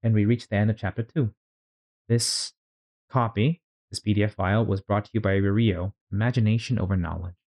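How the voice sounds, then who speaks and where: A man reading a children's story aloud as host, in an expressive, theatrical way. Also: on the low side, a little fast.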